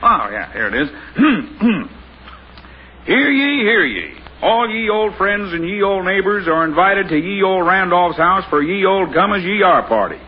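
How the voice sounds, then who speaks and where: An American actor playing a hearty, jokey, theatrical neighbor in a 1950s radio comedy. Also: male voice, high-pitched, sing-song, unhurried, breathy edge, full character voice. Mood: mock proclamation. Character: hearty, jokey male neighbor, grown man. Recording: muffled, noisy old radio recording.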